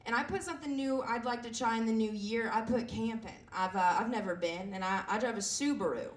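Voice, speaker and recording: Woman, twenties, raspy voice, stand-up comedy, self-deprecating, stumbling delivery, roomy echo. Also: a little high. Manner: self-deprecating, halting with frequent fillers. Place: comedy club stage. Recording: echoey room heard from a distance.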